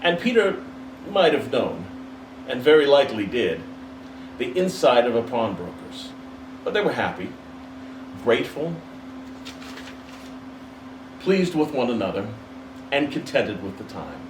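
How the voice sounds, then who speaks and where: A man reading a classic story aloud in an expressive, dramatic narrating style. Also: mid-range voice, unhurried, long pauses. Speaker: storybook reader. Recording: roomy, distant mic, faint background noise.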